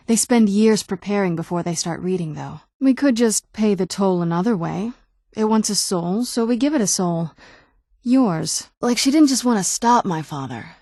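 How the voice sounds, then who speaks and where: A woman's voice: medium pitch, warm tone, dry recording, studio quality, lightly acted. A young woman playing an ancient but youthful vampire noblewoman in a video game, speaking in a wry, understated, conversational way. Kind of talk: video game character dialogue.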